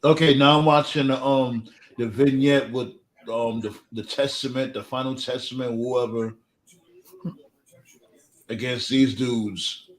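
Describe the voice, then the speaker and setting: A man's voice: medium pitch, steady tone, measured pace, poor audio. A man in casual panel chat, talking in a slangy, profane, joking way. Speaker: podcast panelist. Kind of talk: casual chat about wrestling.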